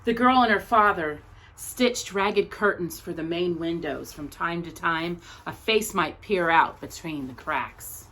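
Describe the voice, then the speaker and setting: A woman reading a children's picture book aloud as a storyteller, with expressive narration and deliberate, dramatic pauses between short phrases. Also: fairly low pitch, rough recording.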